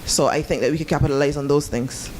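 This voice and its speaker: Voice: mid-range voice, steady tone, fast talker, noisy background. A seminar participant from Barbados making a comment from the floor, hesitant, with many fillers. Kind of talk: comment from the audience. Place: seminar room.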